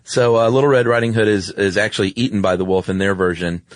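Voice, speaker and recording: A man's voice: on the low side, steady tone, quick pace, no room sound. A man co-hosting a podcast, recounting stories in a casual, wry conversational style. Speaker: podcast co-host.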